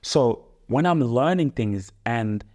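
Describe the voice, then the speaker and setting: Young man, mid-pitched voice, enthusiastic and fluent co-host. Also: lively intonation, takes it slowly, darkish tone. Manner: enthusiastic, fluent, stacks phrases with filler words. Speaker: podcast co-host, son. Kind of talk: casual motivational chat.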